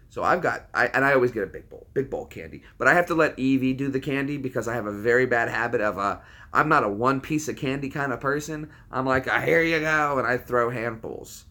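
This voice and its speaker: A man's voice: mid-range voice, animated, a little fast, bright, forceful tone. A man in his thirties hosting a livestream, chatting casually with viewers in a rambling, laid-back way. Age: 30s. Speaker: livestream host.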